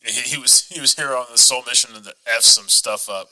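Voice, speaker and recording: A man's voice: medium pitch, fairly quick, pushed and bright, poor audio. A man chatting casually as a podcast co-host, like a fan talking shop.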